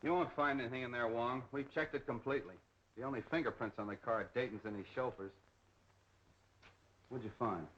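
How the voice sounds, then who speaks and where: A male actor as a wisecracking American police detective in a 1930s mystery. He talks fast and skeptically in a mid-pitched voice, on a muffled old soundtrack. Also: fairly bright tone, natural voice. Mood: sardonic. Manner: brisk, sardonic. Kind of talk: 1930s film acting.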